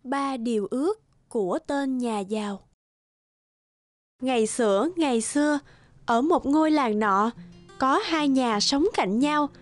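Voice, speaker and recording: A woman's voice: high-pitched, takes it slowly, long pauses. A woman narrating a scripted fairy tale for a cartoon. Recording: music under the voice. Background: background music.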